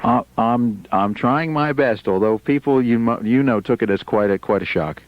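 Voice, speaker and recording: A man's voice: mid-range voice, normal speed, dry recording. A man hosting a live radio show, friendly and stammering through his questions to callers. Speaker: radio host. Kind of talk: live on-air phone interview. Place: radio studio. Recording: dull broadcast radio recording.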